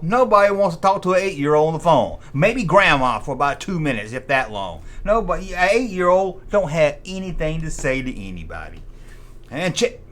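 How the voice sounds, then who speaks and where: American man, middle-aged, lively swooping delivery, folksy sarcastic toy-channel host. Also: a little high. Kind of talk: casual humorous commentary.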